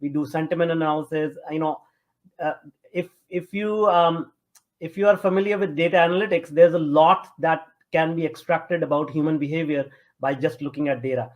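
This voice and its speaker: A man from Punjab with a North Indian accent, answering as an interview guest in a halting, conversational way with many fillers. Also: a little high, fairly even intonation. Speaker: interview guest, tech entrepreneur.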